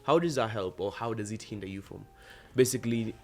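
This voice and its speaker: A man's voice: lowish voice, brisk, a little mellow, faint music behind. A young man interviewing a guest, asking long, winding questions full of fillers. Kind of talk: casual interview.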